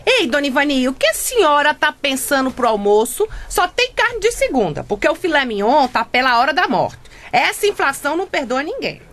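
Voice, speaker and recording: A woman's voice: high-pitched, sing-song, fairly quick, a bit bright. A woman playing a blunt, irreverent housemaid in a Brazilian radio comedy, with a brash colloquial manner and Brazilian accent. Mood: complaining. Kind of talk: comedic radio drama acting.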